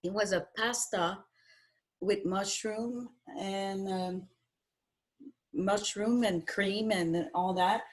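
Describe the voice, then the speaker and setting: Slow-talking French-speaking woman, halting. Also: mid-range voice, fairly even intonation. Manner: halting, restarts sentences, searches for English words. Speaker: guest cook; schoolteacher. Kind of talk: casual interview chat.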